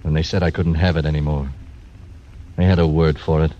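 A bitter ex-doctor croupier, terse, deep voice, muffled vintage radio. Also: male voice, little pitch movement, a little fast, mellow. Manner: terse, clipped, sardonic. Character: disgraced frontier doctor turned croupier, bitter. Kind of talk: 1940s radio acting. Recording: dull old radio recording.

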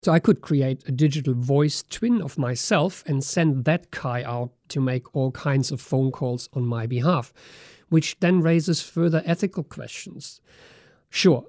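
A man's voice: mid-range voice, a little slow, darkish tone, no echo, clean studio sound. A man co-hosting a podcast, discussing in a casual way and asking rhetorical questions. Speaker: podcast co-host.

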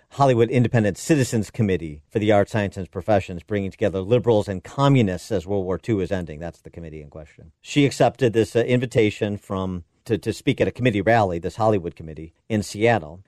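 A man's voice: lowish voice, a little mellow, dry recording, studio quality. A man hosting a radio talk show, reading and commenting with frequent fillers and wry asides.

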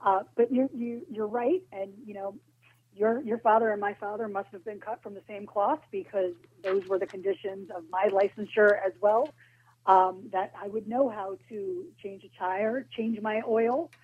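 Woman on a tinny, husky phone line. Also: mid-range voice, steady tone. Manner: measured, practical, some hesitations. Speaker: auto club spokesperson guest. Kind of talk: informative interview answers.

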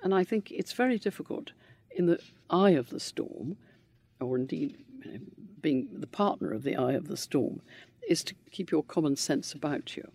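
Gravelly older man, reflective. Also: fairly high pitch, expressive. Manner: measured, gentle, reflective. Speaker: interviewee, widower of a politician. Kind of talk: reflective interview answers.

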